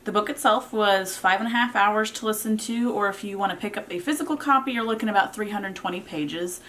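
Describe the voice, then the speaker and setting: Female vlog reviewer, mid-pitched voice, conversational and unscripted, informal delivery that thinks out loud. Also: brisk, slight background noise. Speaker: book reviewer, vlog host. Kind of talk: casual unscripted review talk to viewers.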